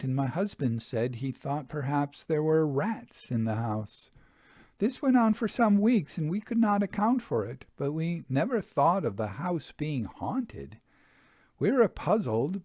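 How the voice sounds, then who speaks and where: A man's voice: on the high side, a little mellow, no room sound, clean studio sound. A man voicing a nervous farmer's wife in a storybook reading, earnest and emphatic as she tells of her haunted house.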